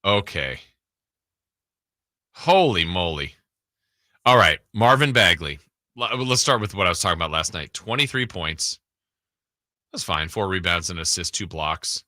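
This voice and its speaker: An American man hosting a sports radio show in casual banter, animatedly thinking out loud as he goes. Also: low-pitched, lively intonation, a little slow, pauses often, dry recording, clean studio sound.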